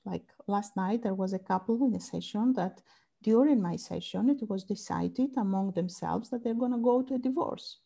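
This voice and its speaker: A woman's voice: mid-range voice, vocal fry, warm tone. A woman from Greece with a Greek accent, a family therapist answering interview questions thoughtfully and searching for words in English. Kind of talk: interview conversation.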